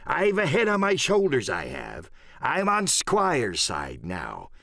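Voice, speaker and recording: A cunning old pirate sea cook for an audiobook. His pitch leaps and plunges through rustic sailor talk, blustering one moment and wheedling the next. The sound is close and dry. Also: wildly swooping pitch, takes it slowly, vocal fry, fairly bright tone, dry recording, exaggerated voice. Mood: confiding, scheming. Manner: rustic sailor speech, emphatic and wheedling by turns. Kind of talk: dramatic audiobook character acting.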